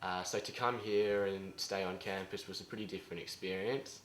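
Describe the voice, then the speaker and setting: A man's voice: sits low, steady tone, moderate pace. A young man with an Australian accent, an exchange student giving easygoing answers in an interview. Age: young adult. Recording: echoey room, mic at a distance.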